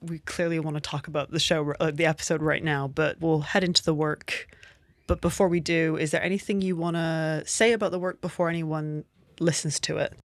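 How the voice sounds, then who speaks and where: A woman's voice: low voice, fairly even intonation, fairly quick, no echo. A woman co-hosting a podcast with casual, chatty delivery. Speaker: podcast co-host.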